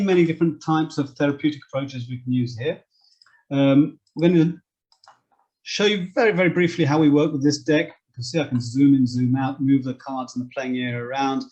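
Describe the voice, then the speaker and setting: Male presenter, slightly high voice, explanatory software walkthrough delivered step by step, lo-fi muffled sound. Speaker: presenter demonstrating online therapy card software. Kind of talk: informal screen-share product demonstration. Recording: dull, lo-fi microphone sound.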